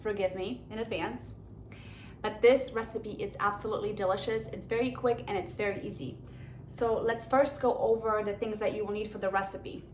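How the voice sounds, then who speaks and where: A woman's voice: mid-range voice, steady tone, moderate pace, a little mellow. A woman with a Polish accent hosting a home cooking show, giving chatty, enthusiastic step-by-step instructions in her kitchen. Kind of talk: cooking demonstration. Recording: echoey kitchen with background noise.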